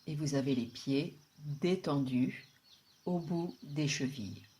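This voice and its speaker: A woman guiding a deep relaxation meditation in a calm, soothing, unhurried way, with long pauses. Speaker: yoga nidra guide.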